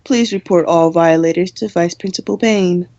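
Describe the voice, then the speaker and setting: A woman playing a brisk, deadpan student co-hosting a campus radio show in a radio play, formal on announcements and wryly exasperated in her scolding. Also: on the low side, no echo, lo-fi sound, close to an everyday voice. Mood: deadpan announcing. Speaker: radio co-host character. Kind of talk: radio play in campus news broadcast style.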